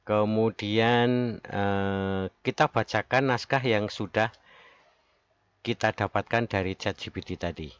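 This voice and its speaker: A dull, dry lo-fi recording of a man with a fairly low voice. He narrates a software tutorial step by step as a presenter at a close microphone. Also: slow pace, clean tone, no echo. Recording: dull lo-fi voice-over.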